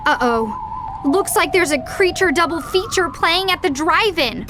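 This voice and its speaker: Very high-pitched know-it-all girl. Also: expressive, a little slow, music in the background. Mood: teasing. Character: know-it-all young girl, bright and a little prim. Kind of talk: cartoon video game acting.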